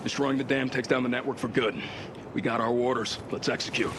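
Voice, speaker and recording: A man's voice: mid-range voice, steady tone, brisk, background noise, natural voice. A man voicing a hard-bitten male squad leader in a video game, giving clipped commands and swearing, over game music. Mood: firm. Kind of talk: video game cutscene acting.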